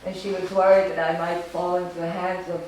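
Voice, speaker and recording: An older woman, German her first language and raised in Romania, reminisces in a loose, halting way, full of fillers and dry jokes at her own expense. She is heard from some distance in a very echoey gallery. Steady room noise sits under her voice. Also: lowish voice. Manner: rambling, halting, with many fillers and wry self-deprecating laughs. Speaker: artist giving a gallery talk. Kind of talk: informal autobiographical talk.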